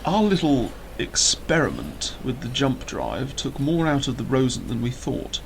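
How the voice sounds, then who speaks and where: Gravelly, calm starship engineer. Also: male voice, medium pitch, measured pace, slight background noise. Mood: calm, dry. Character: calm, literal-minded male starship engineer companion. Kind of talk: science-fiction audio drama acting.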